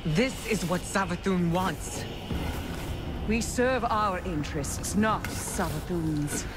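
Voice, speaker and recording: Slow, solemn vengeful sorceress. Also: female voice, on the low side, loud music behind the voice. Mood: cold, commanding. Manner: slow, incantatory, grave. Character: solemn vengeful sorceress becoming a dark Hive god. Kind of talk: dramatic video game ritual monologue.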